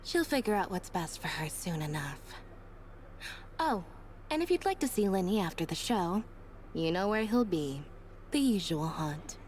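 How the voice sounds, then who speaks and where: A woman's voice: fairly low pitch, very animated, moderate pace, a little mellow, a little hiss or hum. Composed young woman assistant. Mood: reassuring. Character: calm, composed young female magician's assistant, quietly wise.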